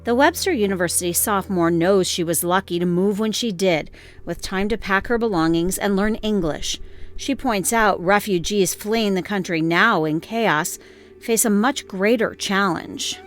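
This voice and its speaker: A woman's voice: mid-range voice, moderate pace. A woman with an American accent reads scripted narration as a news reporter. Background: music.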